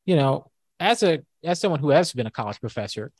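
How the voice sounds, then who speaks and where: A man with a fairly high voice, co-hosting a casual talk show and thinking aloud with frequent restarts and fillers. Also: sing-song, fairly quick, dry recording. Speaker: co-host and former college professor. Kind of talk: casual podcast debate.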